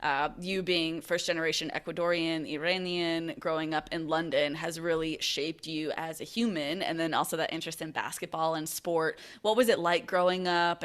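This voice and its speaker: A woman hosts a podcast interview, her voice pitched a little low for a woman. She asks her questions, and between them she keeps up soft murmurs of agreement as the guest talks. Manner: frequent backchannel murmurs. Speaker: podcast host.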